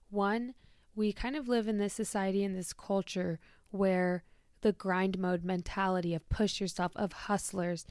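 A young woman chats through her podcast in a bubbly, easygoing way. Her voice is smooth and clear, though a little lower and shakier than usual after a busy event. The sound is dry and close, with no room around it. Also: dry recording. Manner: bubbly, chatty, with light laughs. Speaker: podcast host. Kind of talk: casual podcast monologue.

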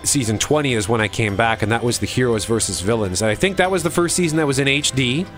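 A man co-hosting a podcast in casual conversation, speaking in a halting way as he thinks aloud. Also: medium pitch, lively intonation, fairly bright tone. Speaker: podcast co-host. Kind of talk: casual chat about TV. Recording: music behind the talk.

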